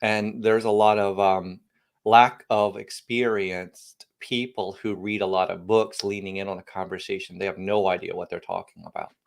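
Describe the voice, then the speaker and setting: A man's voice: sits low, flat delivery, normal speed, gravelly. A man, a company founder and guest on a podcast interview, talking through technical topics in a rambling way with frequent restarts and emphatic bursts.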